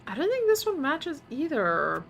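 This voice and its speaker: A woman's voice: high-pitched, wildly swooping pitch, normal speed, vocal fry, a bit bright, slight background noise. A woman streamer casually commenting on her game, muttering to herself while solving puzzles and chatting with viewers.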